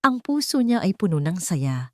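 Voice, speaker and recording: A woman narrating a scripted audio drama in measured, expressive short phrases. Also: fairly low pitch, dry recording, studio quality.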